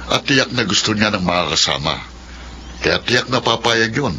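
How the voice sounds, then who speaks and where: An actor playing a bossy, scheming middle-aged father deep in gambling debts, in a radio drama. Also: lively intonation, normal speed, sharp tone, slight background noise, poor audio.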